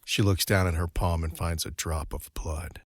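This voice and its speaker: A man giving measured, suspenseful scripted narration for an audio drama. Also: low voice, fairly even intonation, no room sound, studio quality. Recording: clear background ambient noise.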